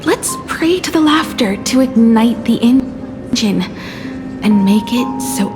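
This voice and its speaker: A steadfast young female companion in a dramatic game story. Each sentence is measured and slow, earnest and firm in manner. Music plays clearly underneath. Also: a little high, lively intonation. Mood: determined.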